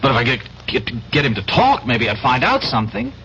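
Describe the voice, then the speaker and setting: An actor playing a brash, excitable young American man, breezy, stammering and insistent, in a radio drama. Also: mid-range voice, brisk, heavily acted. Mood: excited, insistent. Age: young adult. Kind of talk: 1970s radio drama acting. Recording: noisy, dull old radio recording.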